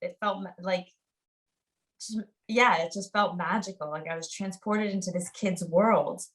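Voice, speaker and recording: A woman's voice: lowish voice, fairly even intonation, unhurried, a bit bright, far from the mic. A woman hosting a podcast in casual literary discussion, speaking in an informal, effusive way. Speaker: podcast host interviewing a guest.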